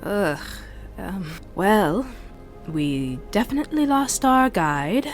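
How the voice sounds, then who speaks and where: A woman's voice: mid-range voice, big pitch swings, slow pace. A woman improvising casually as a player in a tabletop roleplaying game. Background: quiet music.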